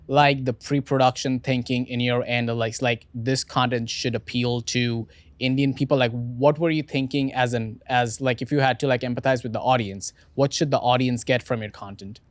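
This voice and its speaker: A man's voice: mid-range voice, steady tone, moderate pace, few pauses, dry recording. A male podcast host with a mid-range voice asks long, probing questions, restarting and stammering as he goes. The sound is dry and close. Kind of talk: interviewer questions.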